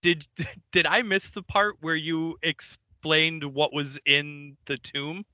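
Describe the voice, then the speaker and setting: A man's voice: on the high side, steady tone, slow pace, bright, forceful tone, no room sound, highs cut off. A man co-hosting a podcast, retelling an episode in a loose, joking way with lots of fillers. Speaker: podcast co-host. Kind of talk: casual episode recap chat.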